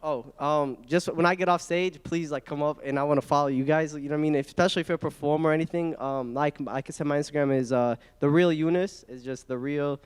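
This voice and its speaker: A man's voice: fairly high pitch, quick pace, hardly any pauses, smooth. A young man from Iraq telling casual, slangy stories as a comedian guest, speaking into a handheld microphone on an indoor stage. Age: young adult. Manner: informal, slangy, animated storytelling. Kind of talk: casual spoken anecdotes before an audience. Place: indoor event hall stage, small live audience. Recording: echoey hall through handheld microphone.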